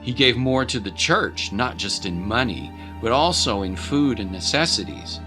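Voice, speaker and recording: A man's voice: on the low side, sing-song, moderate pace, over music. A man narrating a scripted dramatic story as a voice-over.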